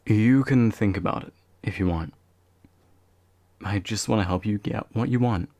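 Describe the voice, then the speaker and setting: A young man acting as a courteous, gentle demon of envy in a soft-spoken ASMR roleplay, formal and soothing with occasional hesitation. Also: lowish voice, moderate pace, vocal fry, no room sound, clean studio sound. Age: young adult. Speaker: voice actor.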